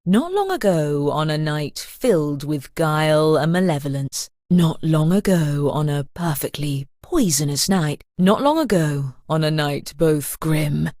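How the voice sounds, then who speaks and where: An actress playing a sly, elegant narrator in a mystery video game, with an arch, sinister storybook delivery. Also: female voice, low voice, expressive, measured pace, hardly any pauses, a little mellow, no echo, clean studio sound. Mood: ominous storytelling. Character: sly, elegant woman narrator who relishes murder.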